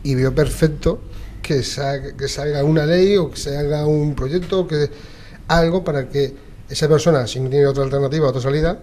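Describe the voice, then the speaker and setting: A man's voice: a little high, fairly even intonation, normal speed, darkish tone. A middle-aged man with an accent from Spain speaking as a debate guest, hesitating and repeating himself. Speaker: football coach, debate guest. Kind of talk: spontaneous panel debate. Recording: clear background noise.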